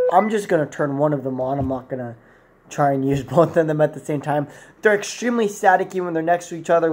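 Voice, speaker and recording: A young man with a slightly high voice reviews a product off the cuff. He talks casually to a camera in a plain indoor room, rambling and correcting himself as he goes. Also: a little mellow. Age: 20s.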